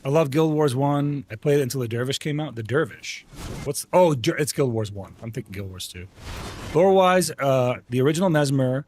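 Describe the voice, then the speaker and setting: A man's voice: medium pitch, brisk, a little mellow, a little hiss or hum. A man streaming an online game live, chatting casually and greeting viewers by name in a friendly way. He grew up speaking Arabic at home. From United States. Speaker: livestream gamer host.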